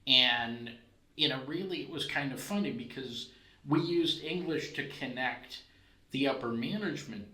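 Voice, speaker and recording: A man's voice: mid-range voice, normal speed, sharp tone, reverberant, rough recording. A man hosting a podcast who talks in a discursive, conversational way with many asides. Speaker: podcast host. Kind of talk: conversational interview.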